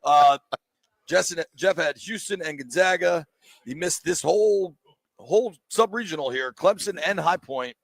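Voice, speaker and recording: A man hosts live sports radio from a sports bar, cracking jokes and ribbing his co-host and guests as he runs through picks. His voice sits a little high with a gravelly edge. The sound is dry and close. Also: dry recording. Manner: joking, teasing, rapid bracket rundown. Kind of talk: casual sports radio banter.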